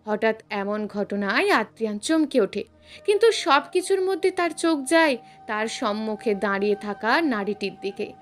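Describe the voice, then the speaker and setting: High, animated woman storyteller. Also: normal speed, soft background music. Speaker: story narrator. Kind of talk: scripted narration of a romantic serial story.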